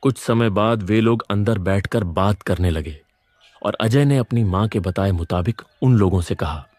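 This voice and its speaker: A man's voice: lowish voice, normal speed, darkish tone, no room sound. A man reading scripted narration for a moral story as its narrator.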